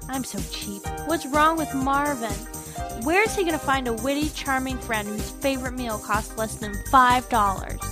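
A woman's voice: sits high, normal speed, over music, natural voice. A woman reading the part of a breezy, self-amused young male actor in a wry, chatty novel dialogue.

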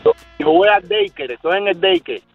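A man's voice: high-pitched, lively intonation, normal speed, phone-quality sound, faint music behind. A man calling into a radio show and improvising rap rhymes for the host. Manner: raps and improvises freestyle rhymes. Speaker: listener caller.

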